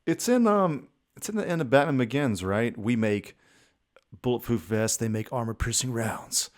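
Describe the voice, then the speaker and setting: A man's voice: medium pitch, lively intonation, normal speed. A man co-hosting a podcast in casual banter, reacting in a laid-back, slangy way with some swearing. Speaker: podcast co-host.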